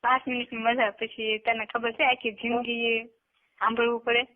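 A woman's voice: a little high, flat delivery, moderate pace. A woman, talking casually on a private phone call, often in short, noncommittal replies. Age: 20s. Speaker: call participant. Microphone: telephone. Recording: tinny phone call recording.

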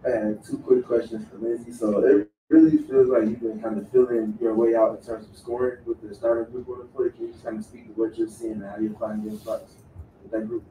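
A man's voice: lowish voice, brisk, mellow, a little hiss or hum. A man reporter asking a player questions at a press conference in a casual, hedging way. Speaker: sports reporter. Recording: echoey room, distant mic.

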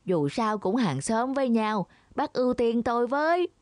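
A woman's voice: a little high, lively intonation, moderate pace, a little mellow, no room sound, studio quality, lightly acted. A woman voicing a selfish, scheming cow in a children's cartoon fable, wheedling and theatrical. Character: scheming, selfish cow with a sweet-talking manner.

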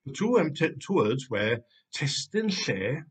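Welsh-accented older man explaining calmly. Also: mid-range voice, husky. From Wales. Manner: measured, explanatory, some restarts. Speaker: Wikimedia manager presenting a tutorial. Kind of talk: screen-recorded tutorial narration. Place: home room, indoors.

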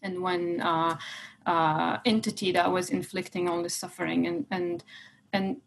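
A woman in her thirties from Palestine, interviewing in an earnest way with many pauses, speaking through earphones on a video call from her living room. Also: fairly low pitch, flat delivery, gravelly, slight background noise. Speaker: interviewer, executive director. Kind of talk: personal conversational interview. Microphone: earphone headset on video call.

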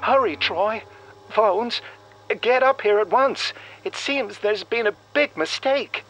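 Urgent high-pitched woman radio operator. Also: slow, music in the background, low-quality recording. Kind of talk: audiobook character acting.

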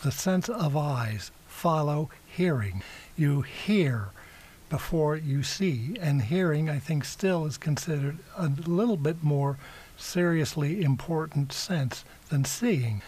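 A man's voice: fairly high pitch, expressive, slow pace, darkish tone, breathy edge. A talkative man co-hosting a radio show, giving his opinions in a casual, rambling and emphatic way.

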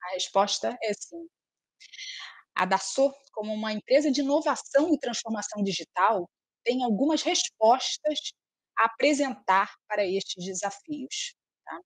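A woman in her forties giving an online talk over a video call with a headset, speaking in a measured, explanatory, slightly formal way. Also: on the high side, lively intonation, no room sound. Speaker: speaker from a software firm. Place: room at home.

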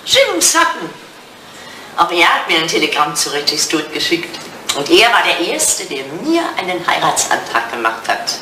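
Elderly English lady, radio drama: forthright widow, very lively intonation, playful jabs, old roomy recording with faint noise. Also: sits low, normal speed, exaggerated voice. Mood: coy, teasing. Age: older. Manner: playful, pointed, animated. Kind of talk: German radio play dialogue, retro 1980.

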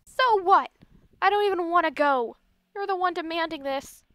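A woman's voice: very high-pitched, moderate pace, dry recording, clean studio sound. A woman playing an insecure, moody teenage girl who runs herself down, in a comic fan dub. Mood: upset.

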